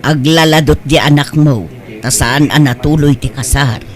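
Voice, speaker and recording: A woman's voice: low-pitched, normal speed, natural voice. Woman playing a nagging, practical older mother. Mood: concerned. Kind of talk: Ilocano comedy radio-style drama acting.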